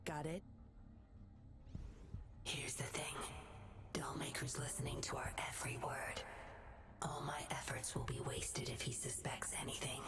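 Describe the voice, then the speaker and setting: A woman playing a sly, composed undercover agent in a video game, teasing, unhurried and quietly commanding. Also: deep voice, level tone, vocal fry, whispered, soft background music, full character voice. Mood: secretive whisper. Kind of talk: video game character acting.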